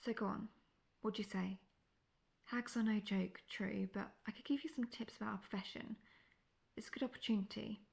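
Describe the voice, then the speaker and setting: Casting: actress as veteran female monster hunter, wry and self-assured, creaky mid-pitched voice, fantasy audio roleplay. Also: fairly even intonation, moderate pace, lightly acted. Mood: friendly, advising. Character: seasoned, cocky, world-weary female monster hunter, friendly mentor.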